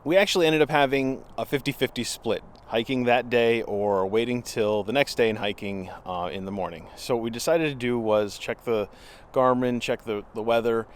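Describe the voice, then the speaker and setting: Male vlogger, mid-pitched voice, casual storytelling, conversational, frequent pauses, clear ambient noise. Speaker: outdoor vlogger telling a story. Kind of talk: casual, unscripted storytelling to camera.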